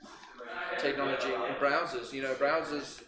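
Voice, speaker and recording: A man's voice: mid-range voice, steady tone, unhurried, noisy background. Rambling man in an echoey lounge. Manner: rambling, full of fillers, teasing. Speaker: meetup attendee. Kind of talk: casual group chat. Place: open office lounge, indoors. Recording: echoey room, chatter behind.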